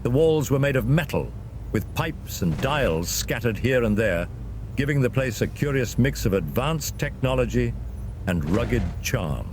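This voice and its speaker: A man narrating a scripted fantasy story as a dramatic, expressive storyteller. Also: measured pace. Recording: loud ambient rumble behind narration.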